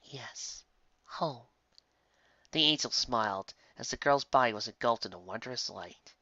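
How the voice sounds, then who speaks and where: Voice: mid-range voice, lively intonation, brisk, pushed and bright, no room sound, lo-fi sound. A narrator reading fan fiction aloud in a dramatic, emotive way. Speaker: story narrator.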